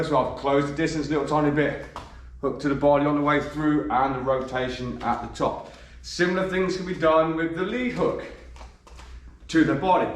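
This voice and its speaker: A man, a bit croaky from a cold, coaching boxing and counting punches as he demonstrates. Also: fairly high pitch. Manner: demonstrates moves while talking, counting punches. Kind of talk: instructional demonstration. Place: gym. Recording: echoey gym, voice from a distance.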